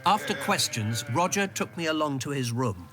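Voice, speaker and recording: An actor playing the measured, observant narrator of a radio drama, with a British accent and an understated manner. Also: male voice, a little high, big pitch swings, background noise. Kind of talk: scripted first-person drama narration.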